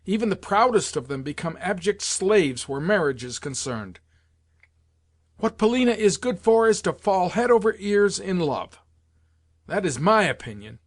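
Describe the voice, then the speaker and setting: A man voicing an excitable, bitter, jealous young man in an audiobook novel, speaking fervently in exclamations. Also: high-pitched, moderate pace, hardly any pauses, dry recording, voice pushed up, close to an everyday voice. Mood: furious, bitter. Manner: fervent, exclamatory, broken repetitions.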